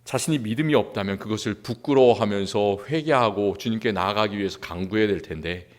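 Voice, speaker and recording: A male pastor from Seoul preaching a sermon in a church, earnest, rising to emphasis and dropping quiet again. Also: on the low side, fairly quick. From Seoul, South Korea. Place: church sanctuary.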